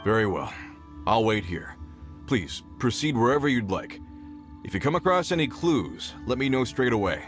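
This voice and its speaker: A man's voice: medium pitch, big pitch swings, normal speed, soft background music, lightly acted. An actor playing a weary, worried older mining foreman in a video game, grave and plain-spoken. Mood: resigned. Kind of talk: video game character dialogue.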